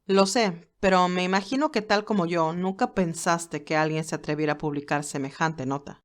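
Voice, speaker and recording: Woman playing loyal male aide, low voice, formal, measured, advising, audio story dialogue. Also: fairly even intonation, close to an everyday voice. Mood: concerned, probing. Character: George, loyal formal male business aide, concerned and probing.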